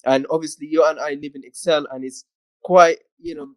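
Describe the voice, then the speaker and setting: A man's voice: on the high side, steady tone, a little fast, dry recording. A man from Eritrea, an author, talking in a reflective conversation and often saying "you know". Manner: thoughtful, frequent "you know".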